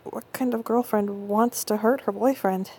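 A woman playing a husky, intense young girlfriend. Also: mid-range voice, fairly even intonation, lightly acted. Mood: tender, conflicted. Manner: soft breathy whispering, halting stammers. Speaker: audio roleplay performer. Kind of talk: intimate audio roleplay.

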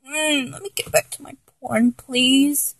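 High-pitched, exasperated girlfriend, acted by a woman. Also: fairly even intonation, a little slow, dry recording, studio quality. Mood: pouty, wavering. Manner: exasperated, teasing, whiny, with sighs and giggles. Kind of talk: intimate adult audio roleplay, one-sided dialogue.

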